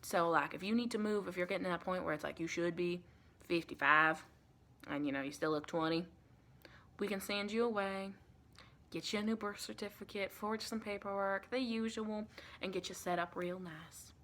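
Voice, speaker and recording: Bright, soft-spoken woman as a folksy vampire. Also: on the low side, normal speed. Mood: chatty, reassuring. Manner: chatty, reassuring, colloquial, rambling. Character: friendly, folksy female vampire welcoming a new recruit. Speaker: ASMR performer. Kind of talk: ASMR roleplay, soft-spoken with whispers.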